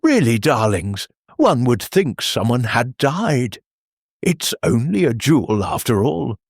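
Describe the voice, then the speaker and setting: A man doing a lively, flippant society woman. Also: medium pitch, takes it slowly, breathy edge, dry recording, clean studio sound, heavily acted. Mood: brittle gaiety. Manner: affected, teasing, theatrical. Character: flippant, theatrical society woman, brightly nervous.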